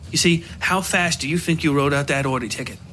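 A man's voice: fairly high pitch, brisk, bright, forceful tone, slight background noise. A man voicing a slick, pushy typewriter salesman in an audio story, with emphatic sales patter. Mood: pushy, eager.

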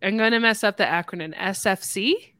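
A woman's voice: mid-range voice, fairly quick, a bit bright, no room sound. A woman hosting a casual conversation in a playful, self-deprecating way. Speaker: podcast host. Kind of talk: casual chat.

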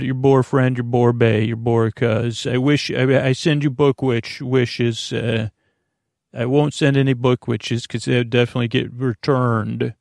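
A man with a mid-pitched, noticeably creaky voice talks as a bedtime podcast host. He wanders from thought to thought, halting and doubling back on himself in a whimsical ramble. The sound is close and dry. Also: a little mellow, no echo. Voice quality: gravelly, creaky. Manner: meandering, halting, self-interrupting, whimsical.

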